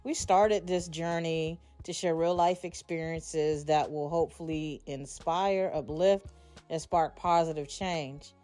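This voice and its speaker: A woman's voice: sits low, fairly even intonation, unhurried, warm tone, soft background music. A woman in her forties hosting a podcast, speaking in an earnest, uplifting way. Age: 40s. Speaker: podcast host. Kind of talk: podcast introduction and conversation.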